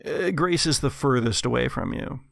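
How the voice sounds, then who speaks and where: Creaky-voiced man narrating a tabletop game. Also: medium pitch, normal speed, fairly bright tone, no room sound, studio quality. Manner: dramatic, vivid second-person storytelling. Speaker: game master.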